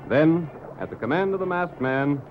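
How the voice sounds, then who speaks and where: A man's voice: on the high side, lively intonation, moderate pace, soft background music. A man narrating a radio western as announcer, in dramatic scripted 1930s style. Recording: muffled, band-limited 1930s radio recording.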